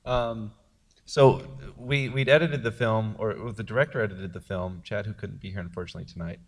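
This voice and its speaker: A man's voice: on the low side, steady tone, brisk, long reverb tail. A man, a filmmaker, talking at a panel after a film premiere in a jokey, rambling way with many fillers. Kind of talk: post-premiere panel talk.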